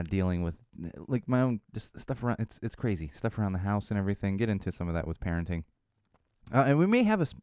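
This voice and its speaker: A man in his thirties from Southern California, hosting a casual solo podcast, a bit hoarse, rambling and self-deprecating, with lots of fillers and restarts. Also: low-pitched, dark tone, dry recording, clean studio sound. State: slightly hoarse. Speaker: podcast host.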